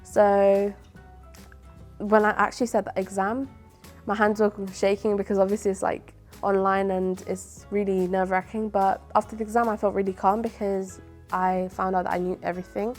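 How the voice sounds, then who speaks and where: A woman's voice: medium pitch, little pitch movement, normal speed, a little mellow, faint music behind. A woman from England, a medical student, speaking casually and with many fillers. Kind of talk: interview answers.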